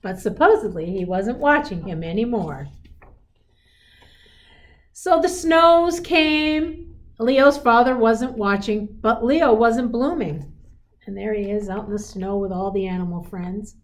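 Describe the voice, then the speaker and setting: A woman reads a picture book to children at an unhurried pace, in a mid-pitched voice. She is expressive and emphatic on the story lines, then turns to chatty, encouraging asides. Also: a little hiss or hum. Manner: encouraging, explains pictures and asks listeners questions. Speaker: school superintendent reading to children.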